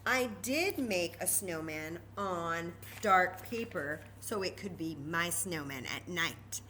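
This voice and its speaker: A woman's voice: on the low side, expressive, slow pace, sharp tone, a little hiss or hum. A woman presenting a children's story time craft, explaining each step informally and patiently and repeating key words.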